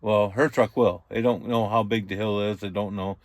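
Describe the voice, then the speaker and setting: A man's voice: on the low side, steady tone, moderate pace, no room sound. A man with a folksy, colloquial way of talking, casually explaining things like an experienced radio hand. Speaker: experienced CB radio user explaining trucker slang. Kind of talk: casual chat. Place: inside a truck cab, passenger seat.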